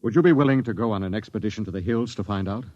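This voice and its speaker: An actor playing a grim, doomed space expedition captain in a 1950s radio drama, solemn and stern. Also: male voice, lowish voice, racing, no echo, close to an everyday voice. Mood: stern, urgent. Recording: dull vintage radio recording with music.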